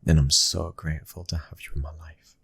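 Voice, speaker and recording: A British man playing a tender, devoted mafia husband and father in a soft ASMR roleplay, speaking in a gentle, breathy hush and often whispering. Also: a bass voice, level tone, a little fast, no room sound, heavily acted. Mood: loving, tender.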